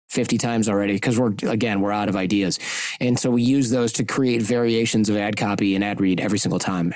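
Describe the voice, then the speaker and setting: Candid podcast host with a dry voice. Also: male voice, medium pitch, a little mellow, dry recording. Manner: candid, rambling, lightly profane. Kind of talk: casual chat.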